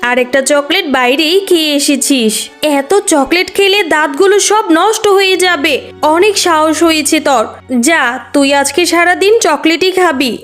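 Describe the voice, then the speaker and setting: Woman as a high-voiced nagging cartoon fox mother. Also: fairly bright tone. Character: scolding but caring fox mother of a young girl. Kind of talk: children's cartoon dubbing.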